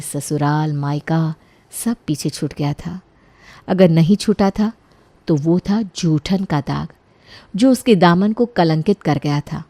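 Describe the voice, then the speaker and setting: Low-pitched woman narrating a story. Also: warm tone, dry recording. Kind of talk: scripted dramatic story narration.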